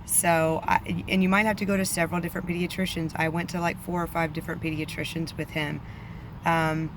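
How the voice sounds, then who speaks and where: Woman, allergy-rough voice, flat even delivery, chatty vlog with faint street noise behind. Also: sits low, brisk. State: voice a bit off from allergies. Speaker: vlogger, parent. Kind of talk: casual advice vlog.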